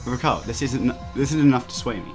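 A man's voice: mid-range voice, expressive, fairly quick, over music. A man hosting a let's play, reading a visual novel aloud with playful banter and often stumbling over the text.

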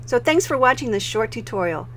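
A woman with an American accent presents a software tutorial as a voice-over, explaining clearly step by step. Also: on the high side, expressive, fairly quick, a little hiss or hum. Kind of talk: instructional screen-recording narration.

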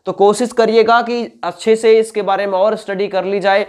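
A man's voice: sits high, fairly even intonation, normal speed, a little mellow. A man, lecturing informally and emphatically as an exam coach, repeating points and checking that listeners follow. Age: 20s. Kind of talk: informal explanatory lecture to camera. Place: indoor room with whiteboard.

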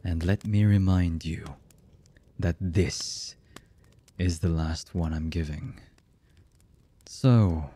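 An actor playing a cold, heartless villain boss in an ASMR roleplay, with a husky voice and a menacing, mock-polite manner. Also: male voice, deep voice, mellow, full character voice. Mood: cold, impatient. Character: cold, heartless male villain boss, calmly threatening captives.

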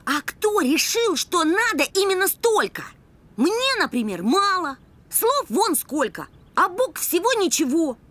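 A woman playing an eager, bossy young boy puppy full of big ideas in an animated cartoon. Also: very high voice, a little slow, exaggerated voice. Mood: eager, persuasive.

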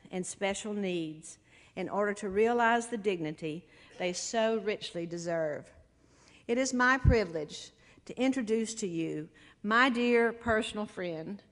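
A woman giving a formal, measured introduction speech from a podium. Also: mid-range voice, fairly bright tone. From North America. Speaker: CEO introducing a speaker. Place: stage in a large hall. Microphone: podium microphone. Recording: long hall reverb from podium PA.

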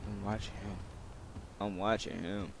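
A young man with a fairly low voice narrates his roleplay gaming session in loose, profane slang, half talking to himself. Loud car and street noise from the game runs behind him. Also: level tone, unhurried, gravelly. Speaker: gamer recording gameplay video. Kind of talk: casual gaming commentary, roleplay. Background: video game car and city sounds.

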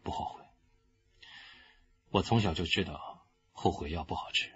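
An actor playing a young, arrogant, unrepentant killer confessing calmly in a radio crime drama. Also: male voice, low voice, lo-fi sound. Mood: cold, subdued. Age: young adult. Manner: unrepentant, coldly deliberate.

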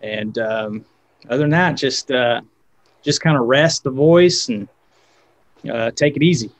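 A man's voice: mid-range voice, a little slow. A man in his thirties, a guest guitarist, talking casually on a video call with many fillers and restarts.

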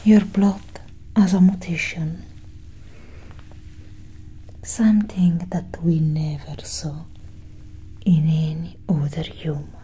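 A young woman whispering breathily and deliberately in a soft ASMR roleplay as a calm alien scientist, close to the mic. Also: husky, poor audio. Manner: slow, deliberate, hushed. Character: calm, clinical female alien scientist examining a human. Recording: steady low engine-like hum.

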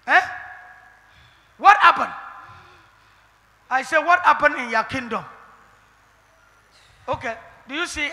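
A man with a Nigerian accent leading a deliverance session as a prophet, firing repeated questions and breaking into shouted decrees in a large church hall on a handheld mic. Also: very high voice. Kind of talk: deliverance prayer ministration. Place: large church hall indoors with a seated congregation. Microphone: handheld microphone. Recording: long reverb of a church hall.

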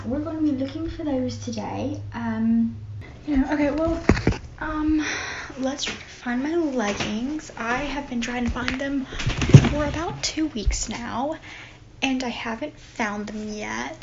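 A young woman vlogging while she tidies her bedroom, chatty and rambling, mock-dramatic about small things. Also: high-pitched. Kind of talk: casual clean-with-me vlog chatter. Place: carpeted bedroom, indoors. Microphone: phone camera set across the room. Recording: echoey bedroom, dull phone audio with steady hiss.

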